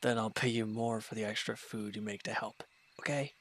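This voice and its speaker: A man plays a proud villain laid low by his wounds and stuck as a reluctant tenant. His voice sits fairly low, and he talks in curt, irritable bursts. It is an audio drama role, bitter and dismissive throughout. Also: level tone, brisk, a bit bright. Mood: irritated, defensive. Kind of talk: dramatic roleplay audio monologue.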